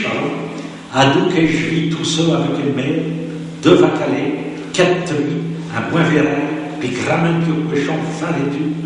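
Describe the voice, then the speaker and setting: A man reading out the earnest love letter of a forty-year-old rustic farmer, voicing him a little higher than his own voice. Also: a little hiss or hum. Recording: echoey hall, distant mic.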